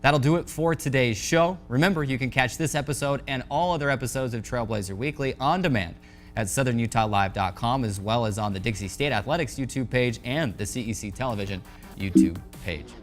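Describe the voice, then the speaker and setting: A man's voice: mid-range voice, brisk, faint music behind. A man hosting a college sports show, delivering a scripted sportscast in an announcer's style.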